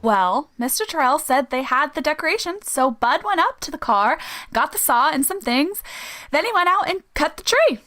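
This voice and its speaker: A woman's voice: high voice, big pitch swings, normal speed, pushed and bright, heavily acted. A woman playing an eager, sweet-natured teenage daughter in a radio drama, speaking in an earnest, girlish way. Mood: excited. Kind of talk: vintage radio drama acting.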